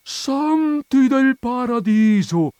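A man's voice: medium pitch, lively intonation, moderate pace, a little mellow, no echo, pitched above the performer's own voice, lightly acted. A man voicing a thin, chattering old school friend in a short story reading, gushing and repeating himself. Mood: amazed, gushing.

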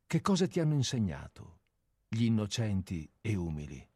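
A man plays a condemned man in a radio drama, sarcastic and bitter as he faces his own executioner. His voice is low and recorded very dry and close. His remarks come out scornful and cutting. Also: big pitch swings, moderate pace, warm tone, husky, no echo. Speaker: actor in a radio play.

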